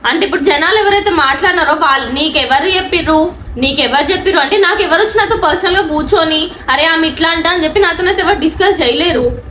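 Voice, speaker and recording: A woman's voice: very high-pitched, quick pace, pushed and bright. A woman online anchor with a Telangana Telugu accent, speaking defensively and insistently to a critic in a response video, mixing in English words. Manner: indignant, defensive, insistent rhetorical questioning; mixes English words into speech. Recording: echoey room with faint background noise.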